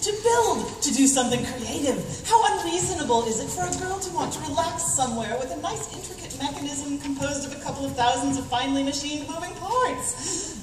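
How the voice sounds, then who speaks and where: A woman's voice: high voice, expressive, fairly quick. A woman playing a feisty, exasperated young inventor heroine in a comedic radio play, emphatic and indignant. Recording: echoey hall, far from mic, noisy.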